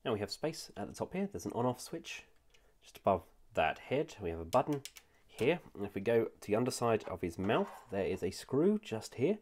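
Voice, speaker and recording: A man's voice: fairly low pitch, big pitch swings, moderate pace, a little mellow. A man with a nasal voice, recovering from a cold, giving an enthusiastic, chatty toy review with frequent "uh" fillers. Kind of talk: casual product review.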